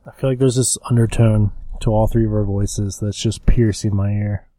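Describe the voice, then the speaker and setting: Man, casual chat, low mellow voice, dry teasing quips, close dry sound. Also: fairly even intonation, normal speed, no echo. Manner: dry, teasing one-liners. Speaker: podcast co-host, teacher.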